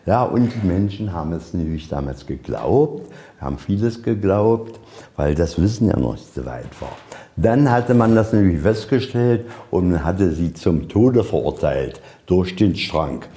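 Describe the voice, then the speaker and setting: A man with a low, mellow voice leads an informal museum tour. He narrates in a folksy way, putting questions and answering them himself. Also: expressive, a little slow. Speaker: museum guide. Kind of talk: informal guided tour storytelling. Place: inside a museum.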